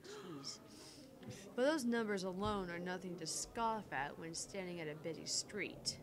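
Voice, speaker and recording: A woman's voice: fairly low pitch, a little slow, a crowd in the background. A woman co-hosting a gaming livestream, chatting casually and reading game text aloud.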